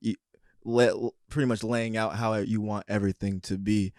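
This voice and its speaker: A man hosting a casual sit-down interview, asking questions in a relaxed, affirming way. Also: on the low side, moderate pace, dry recording, clean studio sound. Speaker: host.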